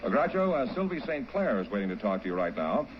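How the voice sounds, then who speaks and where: A man's voice: mid-range voice, sing-song, fast, a little hiss or hum. An American man announcing a show in a 1950s broadcast style. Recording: muffled vintage broadcast with music.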